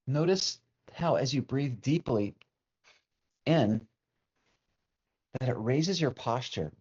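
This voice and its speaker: A man leading a guided meditation as a teacher, speaking in a soothing, unhurried way with long pauses. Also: medium pitch, animated, a little mellow, slightly rough. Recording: dull, muffled lo-fi sound.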